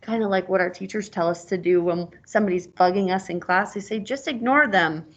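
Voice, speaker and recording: A woman's voice: fairly low pitch, steady tone, quick pace. A woman reading a picture book aloud as a teacher, stopping conversationally to ask questions and explain words, on a dull lo-fi recording.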